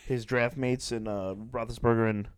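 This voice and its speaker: A man's voice: mid-range voice, steady tone, normal speed, clear, resonant tone, no echo, clean studio sound. A man with a Chicago accent co-hosting a sports podcast, teasing and needling his friends. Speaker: podcast co-host. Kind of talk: casual sports chat.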